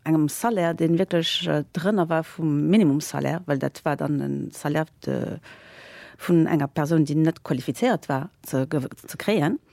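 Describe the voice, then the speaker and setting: A woman, a guest in a casual interview, telling stories with lots of fillers and lively laughter. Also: low voice, normal speed, vocal fry, no echo. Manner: animated, laughing while telling anecdotes, many fillers. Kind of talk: casual storytelling.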